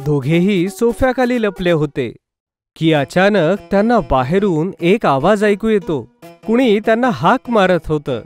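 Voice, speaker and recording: A man's voice: high-pitched, animated, a little slow, faint music behind. A man reading scripted narration for a children's cartoon story.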